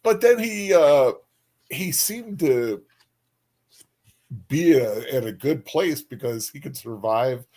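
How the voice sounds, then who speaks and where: A man with a medium-pitched voice co-hosts a casual livestream. He talks informally and haltingly, feeling his way through each thought in broken phrases. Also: lively intonation, a little mellow. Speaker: co-host of a book discussion stream.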